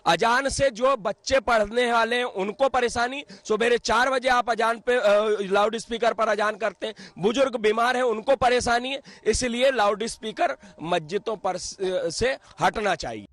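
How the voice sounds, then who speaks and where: A man's voice: very high-pitched, moderate pace, few pauses, fairly bright tone, no echo. A man giving an opinion statement in a news interview.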